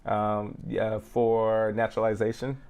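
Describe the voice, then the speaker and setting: A man's voice: fairly low pitch, monotone, unhurried, darkish tone, clean tone. A man co-hosting a casual question-and-answer show, reading viewer questions aloud and often stumbling and correcting himself.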